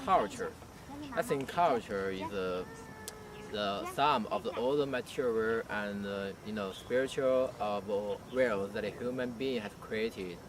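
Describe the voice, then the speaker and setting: A young male university student, a native Chinese speaker, giving hesitant prepared answers with many fillers. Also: fairly low pitch. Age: young adult. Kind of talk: prepared answers for a language project. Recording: distant mic, street noise behind.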